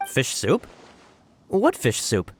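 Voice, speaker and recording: A man's voice: mid-range voice, huge pitch swings, slow pace, slight background noise. A man playing an anxious, deferential subordinate in an anime video game, earnest and emphatic. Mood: flustered, defensive. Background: light background music.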